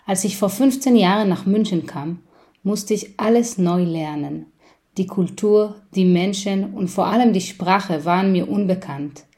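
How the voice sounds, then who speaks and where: A woman from Israel, a theatre director, reading a personal letter aloud in an earnest, measured way with small restarts. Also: mid-range voice, mellow.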